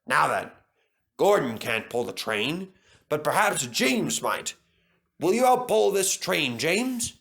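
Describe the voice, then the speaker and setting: A man voicing an authoritative gentleman railway boss in a children's story, speaking in a commanding, formal way. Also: fairly high pitch, very animated, slow pace, vocal fry, heavily acted.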